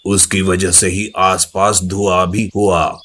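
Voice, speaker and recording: A man as a deep, stern lion king. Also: little pitch movement, slow, a bit bright, exaggerated voice. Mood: angry, scolding. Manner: scolding, authoritative. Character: stern commanding male lion, king of the jungle. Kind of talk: Hindi cartoon moral story dialogue.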